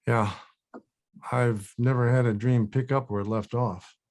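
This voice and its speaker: A man playing an anxious, sheepish actor with a husky voice in a comedy table read. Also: medium pitch, fairly even intonation, unhurried, darkish tone, lightly acted. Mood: puzzled. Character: anxious, awkward adult male actor, defensive and sheepish.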